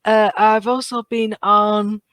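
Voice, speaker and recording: A woman's voice: medium pitch, level tone, takes it slowly, a bit bright, dry recording, clean studio sound. A woman chatting casually and enthusiastically as a guest on a fan podcast.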